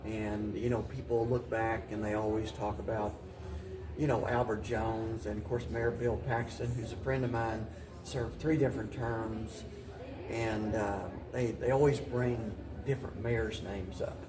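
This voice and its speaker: Halting older man, roomy distant recording. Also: lowish voice, little pitch movement, crowd noise. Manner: halting, reflective, repeats and restarts phrases. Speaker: mayor, talk show guest. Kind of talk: conversational interview answers. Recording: roomy, distant mic, voices in background.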